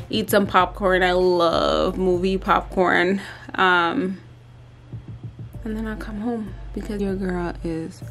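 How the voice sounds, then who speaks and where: A woman in her thirties chatting casually and tiredly to camera in a bedroom vlog, with lots of ums and likes. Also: medium pitch. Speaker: vlogger. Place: bedroom at home.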